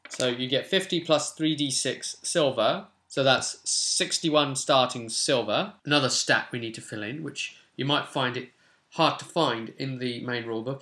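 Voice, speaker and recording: A young Englishman with a bright, forward voice hosts a casual tabletop tutorial. He talks in a chatty way at a medium pitch, dropping in wry asides as he explains. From England. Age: 20s. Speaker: host of tabletop roleplay channel. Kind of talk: casual tutorial chat to viewers. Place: small home room, indoors.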